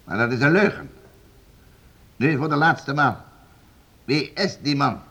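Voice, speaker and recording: A man's voice: medium pitch, unhurried, sharp tone, slightly rough. An actor playing a stern, domineering nobleman in a period radio play, curt, impatient and commanding. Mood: threatening. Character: stern, domineering nobleman interrogating servants for the crown. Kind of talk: period radio play acting in formal Dutch.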